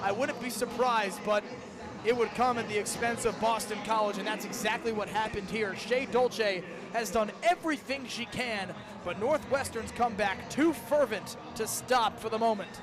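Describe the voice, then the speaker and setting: A man's voice: high-pitched, normal speed, crowd noise. A young man calling live sports on the radio, describing each move in detail with dramatic phrasing. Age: young adult. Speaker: play-by-play broadcaster. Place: outdoors at a lacrosse stadium. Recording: clear outdoor stadium noise behind.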